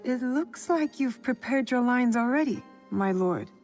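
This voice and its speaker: A woman's voice: on the high side, expressive, unhurried, a little mellow, soft background music. Guarded, wry young shinobi woman. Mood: curious. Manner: cool, direct, restrained. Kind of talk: video game cutscene acting.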